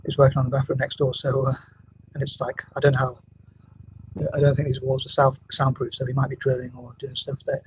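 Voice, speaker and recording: A quiet-voiced man rambles on in a flat, unchanging monotone, words tumbling out quickly between hesitations and restarts. He often drops to a near whisper. A faint hum of room ambience sits behind him. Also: medium pitch, rough recording. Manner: hesitant, mumbling, many restarts and fillers. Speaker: vlogger. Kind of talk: rambling casual vlog monologue. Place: home room, building work next door.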